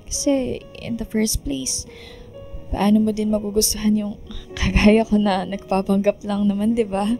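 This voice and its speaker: A woman's voice: mid-range voice, level tone, unhurried, dark tone, soft background music, natural voice. A woman playing a remorseful, self-sacrificing young woman, apologetic and earnest, in radio drama dialogue.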